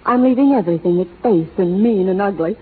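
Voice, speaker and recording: Vintage radio heroine: refined young schoolteacher, principled, earnest and dramatic, husky, on tinny old recording. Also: female voice, mid-range voice, animated, fast talker. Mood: anguished, defiant. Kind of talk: 1930s radio melodrama acting.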